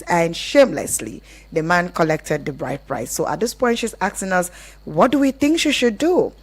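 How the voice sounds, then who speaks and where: Low-voiced Nigerian woman hosting radio. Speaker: radio relationship show host. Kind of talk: live call-in radio presenting.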